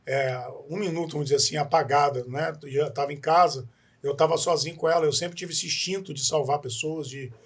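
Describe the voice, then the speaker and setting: Husky higher-voiced man. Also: fairly even intonation, fairly quick. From Espírito Santo, Brazil. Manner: earnest, rambling, tags many phrases with a questioning aside and restarts often. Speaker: podcast guest. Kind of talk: personal life story in an interview.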